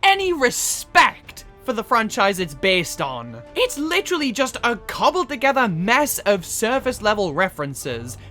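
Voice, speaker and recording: A woman hosting a scripted, opinionated film review, with expressive, emphatic delivery and sarcastic asides. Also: on the high side, unhurried, few pauses, soft background music. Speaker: video essay host.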